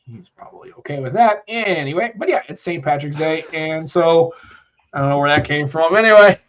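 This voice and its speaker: A man's voice: a little high, normal speed. Guy, bit nasal from a cold, rambly live podcast chat, dull muffled audio. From United States. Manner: rambling, chatty, reads out chat comments. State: congested from a cold. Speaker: podcast co-host. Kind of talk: casual live-stream chat.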